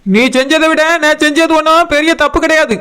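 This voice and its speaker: A woman voicing an aggrieved, insistent village woman in a cartoon comedy, with a Kanyakumari Tamil accent and a pitched-up voice. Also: brisk, fairly bright tone. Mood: resentful, threatening. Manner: accusing, insistent, name-calling. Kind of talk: animated village comedy dialogue.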